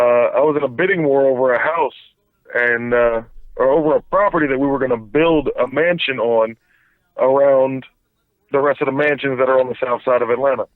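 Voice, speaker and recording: A man's voice: mid-range voice, no room sound. Man on a tinny phone line. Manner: rambling, stumbles and repeats words. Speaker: phone-in guest. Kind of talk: casual storytelling.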